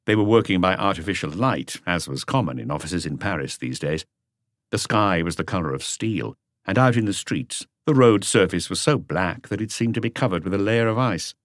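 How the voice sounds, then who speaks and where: A man reading a detective story as an audiobook narrator, in a measured, unhurried way. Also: low-pitched, lively intonation, no echo, studio quality.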